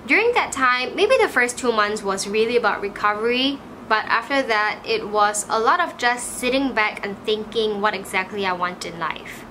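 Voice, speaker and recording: Young Malaysian woman, 20s, bright and chatty vlogger voice, casual filler-heavy delivery, small home room. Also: a little high, moderate pace, few pauses, a little hiss or hum. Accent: Malaysian English. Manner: chatty, frequent "like" fillers, animated. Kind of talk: casual storytelling to camera.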